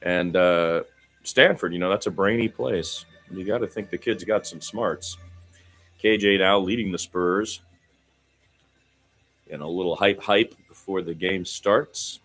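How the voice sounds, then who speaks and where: A man's voice: deep voice, a little slow, a bit bright, faint music behind. A man doing amateur radio-style basketball commentary in a conversational, folksy manner. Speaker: play-by-play commentator.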